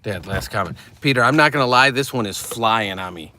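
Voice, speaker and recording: A man's voice: lowish voice, lively intonation, fairly quick, noisy background. A middle-aged man hosting a casual livestream from a car, reading out viewer comments in a chatty, upbeat way and laughing easily. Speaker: livestream host.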